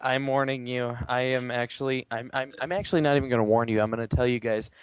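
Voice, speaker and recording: A man bantering casually and reading fan fiction aloud in a deliberate, wry way. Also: mid-range voice, steady tone, clear, resonant tone, no room sound, lo-fi sound. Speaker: player in a dramatic group reading game.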